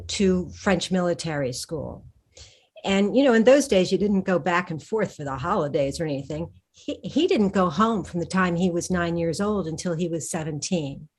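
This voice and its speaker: A woman speaking as the guest author in a conversational interview, her voice sitting a little low. She thinks aloud as she talks, circling back and restarting her sentences before landing on a point. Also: lively intonation, moderate pace, husky. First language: English. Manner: thoughtful, with frequent restarts and fillers. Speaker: historical fiction author, guest.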